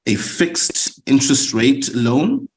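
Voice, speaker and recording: A man's voice: medium pitch, very animated, slow pace. A middle-aged man from Zimbabwe giving a webinar talk, with many fillers and repeated words. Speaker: guest speaker for a student lender. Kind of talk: webinar presentation.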